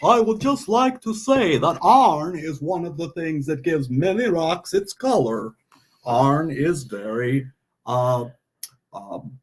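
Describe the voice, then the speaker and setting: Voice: medium pitch, big pitch swings, unhurried, a little hiss or hum. A performer voicing a cheeky, grumbling puppet sidekick with theatrical, mock-indignant comic sales patter. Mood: pompous explaining. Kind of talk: comic live-sale banter and patter.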